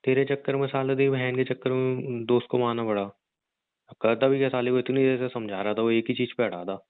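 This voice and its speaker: A man playing a rough young gangster husband in an audio drama, crude and teasing yet protective, talking in slang. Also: mid-range voice, fairly even intonation, fairly quick, no echo, natural voice. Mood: exasperated. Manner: slangy, crude, mild swearing. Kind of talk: audio roleplay drama, conversational. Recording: narrow phone-like dry sound.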